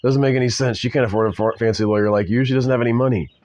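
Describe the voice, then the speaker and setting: A man's voice: mid-range voice, fairly even intonation, very fast, a little mellow, natural voice. A man voicing a bulky, irritable public defender who grumbles and snaps, read from a story. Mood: suspicious. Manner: grumbling, snappish.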